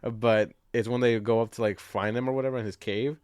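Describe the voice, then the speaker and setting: Young man, medium pitch, dry close sound, casual movie chat, joking, teasing, profane. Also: fairly even intonation, fast, a little mellow, dry recording. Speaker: podcast co-host.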